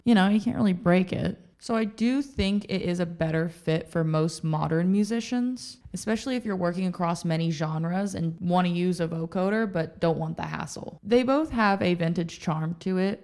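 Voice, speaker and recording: Casual, low-pitched woman reviewing gear. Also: darkish tone. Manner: conversational, informal, opinionated. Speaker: video presenter reviewing music gear.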